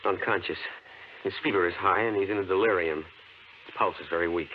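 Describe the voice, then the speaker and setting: A man's voice: deep voice, brisk, lightly acted. An actor playing an exasperated, skeptical young man in a 1950s radio drama. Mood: plain, sober. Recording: crackly, tinny 1950s radio recording.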